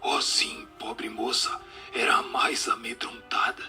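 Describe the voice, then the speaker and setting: A mischievous wandering spirit of an old-time street ragpicker, played by a man, speaks in a radio drama. The voice is sharp and forceful, with playful, breathy turns, over a bed of music. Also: medium pitch, level tone, a little slow, whispered. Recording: music under the voice.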